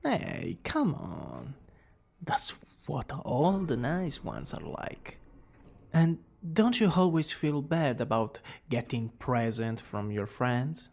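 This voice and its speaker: Man acting a cocky, creaky-voiced young villain boyfriend. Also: mid-range voice, sing-song, slow, darkish tone, heavily acted. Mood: teasing, boastful. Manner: smug, theatrical, teasing. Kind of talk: romantic ASMR roleplay acting.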